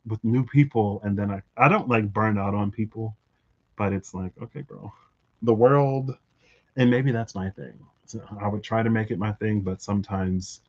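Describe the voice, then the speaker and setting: Rambling musing man, lo-fi sound. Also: fairly low pitch. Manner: musing, lots of fillers and restarts. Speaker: tarot reader vlogger. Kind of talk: rambling reflective monologue.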